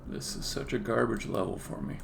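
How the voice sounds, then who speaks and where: A man thinking aloud on a live-coding stream, muttering to himself in a halting way and often trailing off. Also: mid-range voice, vocal fry. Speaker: indie game developer streaming. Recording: faint background noise, keyboard clicks. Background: computer keyboard typing and clicking.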